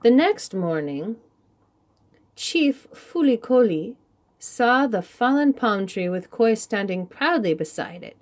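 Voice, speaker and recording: Female children's narrator, deliberate and unhurried, with lively intonation for picture-book read-alouds. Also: fairly high pitch. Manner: animated, deliberate, with sound words. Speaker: children's storybook reader. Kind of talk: expressive read-aloud storytelling for young children.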